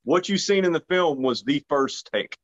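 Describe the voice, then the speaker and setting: A man's voice: fairly high pitch, expressive, moderate pace. A man from Arkansas, an actor being interviewed, talking casually with frequent restarts and self-deprecating remarks. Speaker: actor guest. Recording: dull lo-fi sound.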